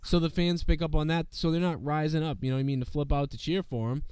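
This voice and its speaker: A man's voice: fairly high pitch, fairly even intonation, fast talker, darkish tone, clear, resonant tone, no room sound. Male wrestling-show host, under the weather, loose and profane, keeps saying "you know what I mean", dry close sound. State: sick, losing his voice. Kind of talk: casual profane show commentary.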